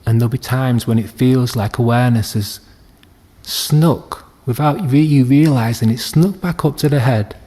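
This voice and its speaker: A man leading a guided meditation as a teacher, speaking gently and soothingly with long unhurried pauses. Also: mid-range voice. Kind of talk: guided body scan meditation.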